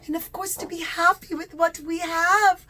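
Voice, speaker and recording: A woman telling a religious lesson to young children as a storyteller, in simple, expressive language with stretched-out key words. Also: extremely high pitch, normal speed.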